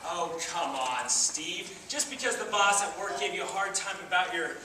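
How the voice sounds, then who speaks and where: A man playing an outgoing, teasing buddy in a stage comedy, blunt and drawn-out in his delivery. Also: sits high, animated, bright, forceful tone. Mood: coaxing, teasing. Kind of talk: stage comedy acting, projected. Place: theater stage, recorded from the audience distance. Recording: echoey theater, far mic, noisy.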